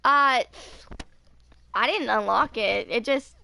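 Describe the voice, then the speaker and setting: A woman's voice: a little high, takes it slowly, creaky, sharp tone. A woman in her twenties chatting casually while streaming a video game, rambling and hesitant as she goes. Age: 20s. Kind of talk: casual live gameplay chat.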